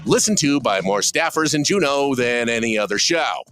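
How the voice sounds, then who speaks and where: A man's voice: medium pitch, expressive, normal speed. A man reading a wry, produced radio station promo as the announcer. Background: music bed.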